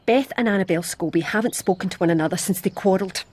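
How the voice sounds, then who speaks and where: Woman as prim housekeeper, Scottish accent, husky mid-range voice, confiding, knowing, radio drama. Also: mid-range voice, quick pace, darkish tone, natural voice. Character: knowing, gossipy but proper housekeeper.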